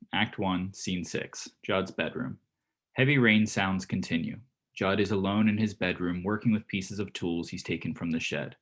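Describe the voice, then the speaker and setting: Low-voiced man reading stage directions. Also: a bit bright. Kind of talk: scripted narration.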